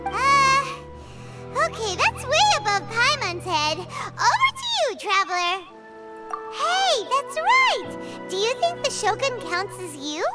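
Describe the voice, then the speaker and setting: A woman voicing a tiny, excitable female fairy companion in a game cutscene, bubbly and chatty. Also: extremely high pitch, takes it slowly, over loud music, full character voice. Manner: talks about herself in third person, bubbly. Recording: game score loud behind.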